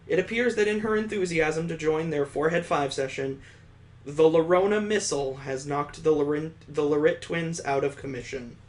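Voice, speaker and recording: A man's voice: on the high side, moderate pace. A man hosting a let's play, reading a visual novel aloud and dropping in wry asides.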